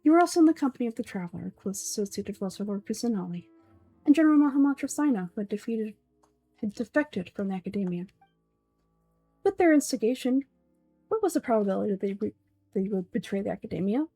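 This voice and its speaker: A woman's voice: medium pitch, expressive, fast, mellow, slightly rough, dry recording, faint music behind, rough recording. A woman voicing a pompous, coldly calculating old male villain from a game, speaking formally and condescendingly. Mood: interrogating. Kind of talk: amateur voice-over of game dialogue.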